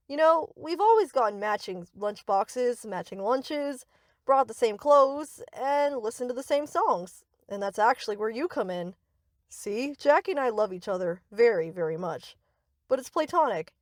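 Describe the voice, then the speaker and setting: Woman doing a doting yandere bestie, kinda high voice, chirpy and reassuring but possessive, asmr roleplay, no room sound. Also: expressive, moderate pace, dry recording, studio quality, lightly acted. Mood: affectionate. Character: sweet, reassuring, possessive yandere best friend, young. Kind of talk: anime-style ASMR audio roleplay.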